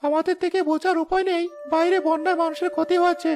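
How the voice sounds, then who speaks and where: A smug cartoon village chairman, acted by a man speaks in a high-pitched voice over music. His manner is callous and self-satisfied. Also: level tone, quick pace. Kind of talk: animated moral story voice acting.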